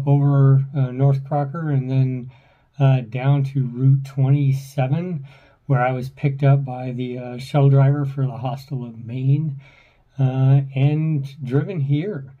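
Slow-talking man in his sixties. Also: medium pitch, no room sound, phone-quality sound. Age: 60s. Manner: unhurried, rambling, frequent fillers and restarts. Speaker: thru-hiker vlogger. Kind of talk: casual trail vlog. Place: forest trail outdoors; wooden hostel room indoors. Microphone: phone or camera held at arm's length.

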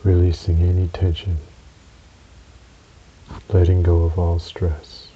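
Guy doing guided relaxation, really slow n flat, soothing, long pauses, slightly hissy muffled audio. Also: sits low. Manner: soothing, measured, with long pauses between short phrases. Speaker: guided meditation narrator. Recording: dull recording with audible hiss.

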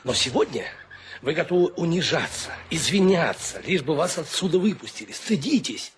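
An actor playing a stern, proud young ship's officer with a severe manner in a comedy radio play. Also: male voice, on the high side, sing-song, normal speed, slight background noise. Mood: reproachful. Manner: severe, reproachful.

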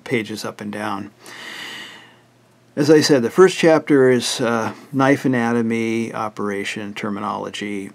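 Older man, hoarse and raspy, lowish voice, plain matter-of-fact listing, throat clearing. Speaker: author presenting his book. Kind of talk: casual book walkthrough.

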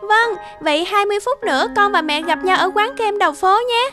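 Woman voicing a very high, bright young cartoon girl. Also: expressive, brisk, soft background music, heavily acted. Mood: wistful. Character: sullen, hurt young girl resisting her stepmother. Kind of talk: cartoon voice acting.

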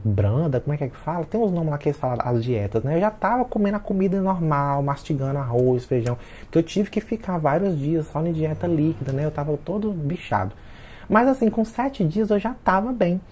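A chatty man in his late twenties talks casually to camera, addressing his viewers directly all the time. His voice is husky and breathy, with a warm, mellow tone. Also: a little high, expressive, normal speed. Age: 20s. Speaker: vlogger.

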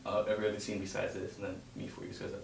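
A man's voice: fairly low pitch, monotone, rapid-fire, creaky, crowd noise. A young man talking casually in a group discussion at a table read, picked up from across a room. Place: home dining room, group around a table. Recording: echoey room, distant mic, noisy.

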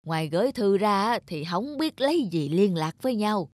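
An actress voicing a kindly, grateful old village woman in an animated film, speaking in a gentle, homely and earnest manner. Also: mid-range voice, moderate pace, dry recording, studio quality, lightly acted. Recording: music behind the voice.

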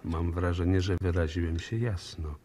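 An actor playing a composed, methodical police inspector in a radio drama, speaking formally and courteously with probing intent. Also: male voice, sits low, fairly even intonation, normal speed, dark tone, slightly rough. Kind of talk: 1960s Polish radio drama acting.

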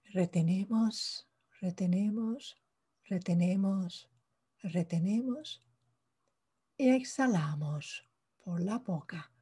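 Very slow, breathy woman guiding meditation. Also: medium pitch. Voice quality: soft, breathy. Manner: calm, hushed, pausing between short phrases. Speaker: meditation guide. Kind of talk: guided meditation, slow spoken instructions.

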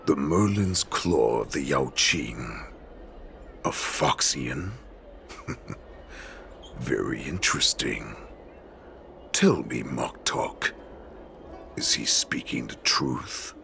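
An ancient wolf-like warlord rumbles from the very bottom of the register. He is slow, imperious and contemptuous, with gravel in every word and sweeping rises and falls. It is a grand video game villain. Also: lively intonation, pushed and bright, a little hiss or hum, heavily acted. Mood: intrigued, menacing. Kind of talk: dramatic game character acting.

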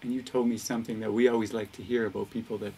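A man's voice: lowish voice, little pitch movement, racing, dark tone. A man in his forties interviewing conversationally, with reflective, hesitant phrasing. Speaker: interviewer, community host. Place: indoor set with curtain backdrop, seated. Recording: distant mic, background noise.

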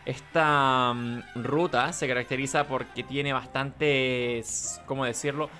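A Chilean man hosts a casual gaming playthrough in a middling-pitched voice. He talks to himself hesitantly and repeats words, with game music playing behind him. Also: fairly even intonation, slow, sharp tone. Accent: Chilean Spanish. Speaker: gaming video host. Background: video game music behind the voice.

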